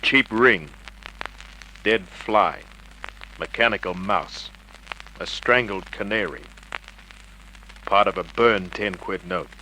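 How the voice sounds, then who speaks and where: Hardboiled detective, commanding and wry, bright lowish voice, 1950s radio play with faint hiss. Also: male voice, slow, long pauses, rough recording. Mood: cool, controlled. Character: tough, cool, wisecracking male private investigator. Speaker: lead actor and narrator. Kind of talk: 1950s radio crime drama acting, hardboiled narration. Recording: old radio recording with hiss.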